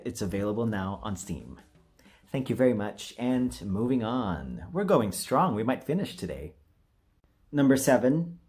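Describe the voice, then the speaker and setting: A man's voice: medium pitch, a little mellow. Casual jokey man rambling over music. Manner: jokey, self-deprecating, chuckles often. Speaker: voice acting video creator. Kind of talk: casual rambling vlog chat.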